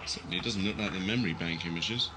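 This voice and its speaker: An actor playing a stern, sarcastic military spaceship captain, curt and commanding, in a sci-fi audio drama. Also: male voice, deep voice, quick pace, background noise, lightly acted. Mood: impatient. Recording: 1980s amateur audio-drama tape.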